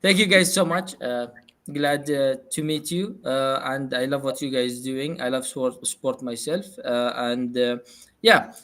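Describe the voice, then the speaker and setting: Arabic-accented male host, easygoing and hesitant, home setup, mid pitch. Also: a little slow, a bit bright. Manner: loose, hesitant, with many fillers. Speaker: show host. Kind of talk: casual livestream chat. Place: room at home.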